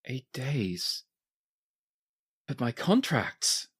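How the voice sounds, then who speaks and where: A man's voice: mid-range voice, sing-song, a bit bright, breathy edge, exaggerated voice. Man acting a dazed, shaken ship captain. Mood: confused, anxious. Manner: halting, bewildered questioning. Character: shaken, dazed revived male ship captain, grieving father.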